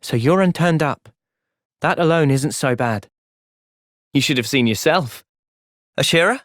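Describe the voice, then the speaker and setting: An actor playing a thoughtful, gentle but resolute young male soldier in a video game, with a British accent and a sincere, measured delivery. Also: a little high, lively intonation, no echo, clean studio sound. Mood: calm, considering. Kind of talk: video game cutscene voice acting.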